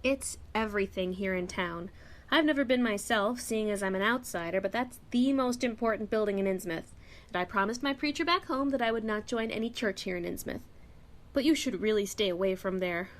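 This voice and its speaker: A woman's voice: mid-range voice, brisk, lightly acted. A woman playing a chatty, outspoken young store clerk in a radio play, talking in a folksy, slangy, confiding way. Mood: cautious, warning.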